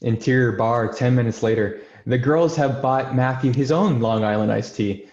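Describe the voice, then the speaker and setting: A man's voice: medium pitch, moderate pace, darkish tone. Roomy-sounding man reading stage directions. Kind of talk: scripted screenplay narration. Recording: roomy, dull remote call audio.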